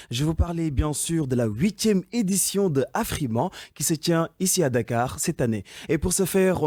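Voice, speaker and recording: A man hosting a radio interview in a studio, friendly and quick to laugh. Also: fairly high pitch, mellow, no room sound. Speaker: radio host.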